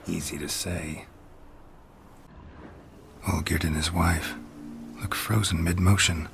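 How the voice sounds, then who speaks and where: An actor playing a gruff, sceptical monster hunter in a video game, speaking tersely with dry deadpan delivery. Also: male voice, low-pitched, slow pace, noisy background, exaggerated voice. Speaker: game character, monster tracker. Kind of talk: dramatic video game acting.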